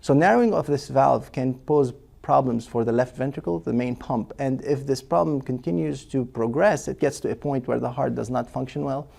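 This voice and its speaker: A man's voice: mid-range voice, moderate pace, gravelly, few pauses, darkish tone. A man, a cardiologist patiently explaining in an interview, with frequent fillers and restarts. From Lebanon. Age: 40s. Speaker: cardiologist guest.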